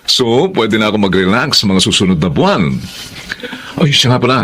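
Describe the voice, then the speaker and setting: Chummy, animated Filipino braggart. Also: male voice, on the low side, moderate pace. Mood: smug, friendly. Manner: animated, tags phrases with questioning "ha". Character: chummy, boastful male sales colleague. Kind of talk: Filipino radio drama acting.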